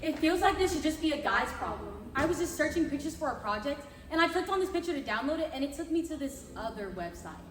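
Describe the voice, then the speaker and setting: A woman's voice: sits high, expressive, quick pace, hardly any pauses, a little hiss or hum. A young woman playing an embarrassed teenage girl, earnestly confessing in readers theatre. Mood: embarrassed confession. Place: large carpeted conference room, far camera. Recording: echoey hall, distant microphone.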